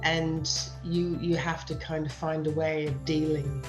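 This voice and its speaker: A woman's voice: low-pitched, flat delivery, unhurried, music in the background. A middle-aged British woman who teaches writing, answering interview questions with emphatic advice and repeating key phrases for stress. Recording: dull, lo-fi sound.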